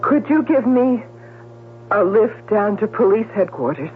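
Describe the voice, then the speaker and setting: A woman's voice: medium pitch, lively intonation, a little slow, a little hiss or hum, natural voice. An actress playing a nervous, guilt-ridden woman hiding a crime in a 1970s radio drama. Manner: pleading, anxious, breaking into defiance. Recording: tinny, humming old radio broadcast.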